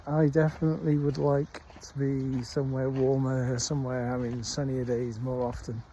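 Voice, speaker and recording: A man's voice: medium pitch, level tone, mellow, slight background noise, low-quality recording. A middle-aged man with a Welsh accent, chatting casually and unhurriedly into a handheld phone while walking his dog outdoors. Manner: rambling, unhurried chat with frequent pauses. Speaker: vlogger. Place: outdoors on a countryside dog walk.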